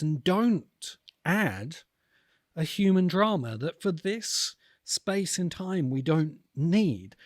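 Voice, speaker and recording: A man hosting a podcast, speaking in reflective commentary with an earnest, emphatic delivery and deliberate dramatic pauses. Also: a little high, no room sound, clean studio sound. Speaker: podcast host and video essayist.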